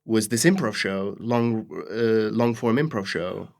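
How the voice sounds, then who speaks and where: This is a man with a fairly low voice. He talks casually, as a comedian guest would in an interview, and keeps a playful, dryly joking tone with mock-earnest asides. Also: a little slow, vocal fry, a little mellow. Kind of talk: casual conversational interview chat.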